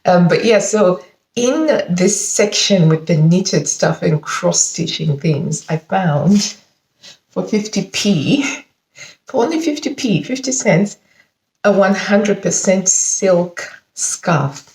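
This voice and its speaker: A woman's voice: fairly low pitch, takes it slowly. A woman in her forties chatting casually about her fabric haul, a little congested from hay fever, talking with chatty enthusiasm. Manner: chatty, enthusiastic, animated with frequent laughs. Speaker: sewing vlogger. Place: living room at home, indoors. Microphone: camera mic at close range. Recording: echoey room.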